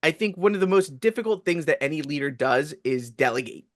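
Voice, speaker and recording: Man host, slightly high voice, dry close mic; articulate and reflective. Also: animated, fairly quick, dry recording. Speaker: podcast host. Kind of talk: casual interview.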